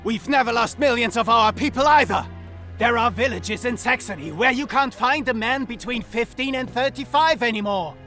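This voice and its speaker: High, hot-headed prince. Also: male voice, normal speed, bright, forceful tone, soft background music. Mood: anguished, angry. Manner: fervent, insistent. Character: hot-headed, zealous German prince and officer.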